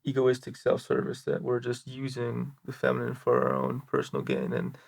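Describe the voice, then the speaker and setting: A man's voice: mid-range voice, big pitch swings, moderate pace, vocal fry, a little mellow. A man hosting a podcast interview in a casual, conversational way. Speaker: podcast host.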